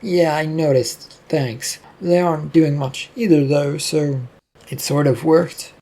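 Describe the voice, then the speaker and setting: A narrator voicing a breezy, husky, reckless man. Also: mid-range voice, steady tone, takes it slowly, mellow. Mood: breezy, unfazed.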